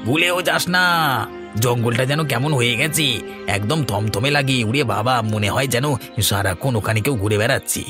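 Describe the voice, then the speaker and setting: A worried village uncle played by a man in an animated horror story. He warns in a folksy, admonishing way at medium pitch, over faint music. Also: normal speed, natural voice. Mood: worried, warning. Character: cautious, worried village uncle warning the young. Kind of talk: dubbed horror cartoon dialogue.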